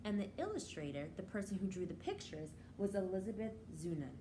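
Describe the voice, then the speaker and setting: Expressive woman reading to children, noisy recording. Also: medium pitch, brisk. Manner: expressive, simple explaining to young children. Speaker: preschool educator. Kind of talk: children's read-aloud with explanatory asides.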